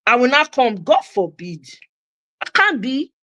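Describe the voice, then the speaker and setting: Middle-aged Nigerian woman host with a Pidgin-tinged accent, passionate and emphatic, bright-pitched, dry recording. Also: very animated, unhurried, dry recording. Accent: Nigerian, with Pidgin phrases. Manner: emphatic, repeats her points for stress. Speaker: host of a commentary channel. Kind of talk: impassioned opinion monologue.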